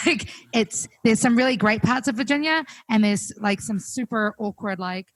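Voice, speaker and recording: A young woman with a New Zealand accent, chatting casually as a guest musician, full of fillers and quick laughs. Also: fairly high pitch, dry recording. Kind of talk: casual chat on a call. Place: home, recorded remotely.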